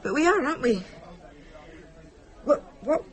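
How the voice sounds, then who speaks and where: An actress with a British accent playing an anxious but stubborn wife in a radio drama, earnest and pressing. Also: female voice, sits high, very animated, measured pace. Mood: polite. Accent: British English.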